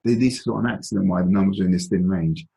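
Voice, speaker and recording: A man presenting an informal stock analysis as host of an investment call, explaining in a halting, deliberate, conversational way. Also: low voice, fairly even intonation, vocal fry, dark tone.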